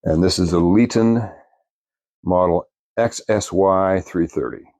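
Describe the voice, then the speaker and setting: A man giving an unscripted product review in a plainspoken, measured, explanatory way. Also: sits low, darkish tone, no room sound.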